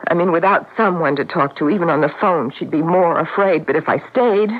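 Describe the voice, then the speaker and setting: Actress playing a guilt-stricken grieving wife, tinny radio. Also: female voice, on the low side, lightly acted. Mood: distraught. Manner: anguished, dramatic, halting self-reproach. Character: guilt-stricken married woman, stage actress grieving her friend. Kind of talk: old-time radio drama acting. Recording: tinny, narrowband radio drama recording.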